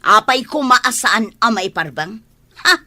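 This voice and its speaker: A woman's voice: on the high side, animated, a little slow, bright, forceful tone, heavily acted. A woman playing an exasperated, defensive wife and mother in an Ilocano radio drama, argumentative, with an Ilocano accent.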